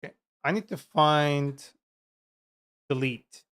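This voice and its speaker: A man's voice: a little high, slow pace, a bit bright, clear, resonant tone. Turkish-accented man coding live, swearing. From Turkey. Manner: frank and profane, talks to chat while coding. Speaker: software developer streaming live coding. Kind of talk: casual live coding stream chat.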